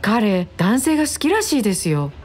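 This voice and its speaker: A woman's voice: medium pitch, big pitch swings, moderate pace, a little mellow, slightly rough, faint music behind. A woman playing a polite, gossipy office assistant in a radio drama, deferential and chatty.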